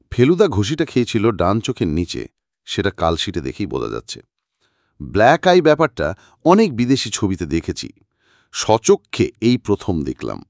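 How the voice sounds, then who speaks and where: A man narrating a scripted story as an audiobook reader, dramatic and expressive. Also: lowish voice, gravelly, dry recording, studio quality.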